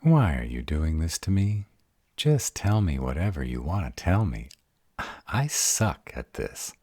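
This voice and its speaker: A man's voice: very deep voice, very animated, a little slow, darkish tone, husky, no echo, studio quality, full character voice. A man acting a sarcastic, baffled vampire in an audio roleplay drama, wary and exasperated, with a casual, sweary manner. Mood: frustrated.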